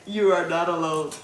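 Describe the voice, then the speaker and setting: A woman's voice: on the low side, echoey room, low-quality recording. A woman, chatting casually with viewers as she cooks on a livestream, laughing easily. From Guyana. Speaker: livestream cooking host. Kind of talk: casual livestream cooking chat.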